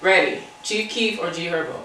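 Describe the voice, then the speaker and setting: A woman's voice: lowish voice, moderate pace, bright, forceful tone. An American woman doing a casual music interview, slangy and playfully teasing. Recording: echoey, distant mic, faint noise.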